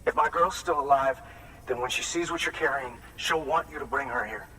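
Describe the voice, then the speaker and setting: A man's voice: medium pitch, brisk, pushed and bright, distant mic, a little hiss or hum, lightly acted, low-quality recording. An actor playing a grieving father in a video game cutscene, blunt and resentful, swearing as he distrusts the people he blames. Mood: gruff.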